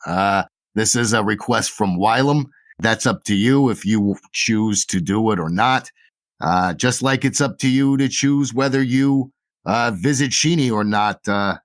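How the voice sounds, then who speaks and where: A man's voice: lowish voice, dry recording. A man voicing a polite, formal male messenger in a tabletop game.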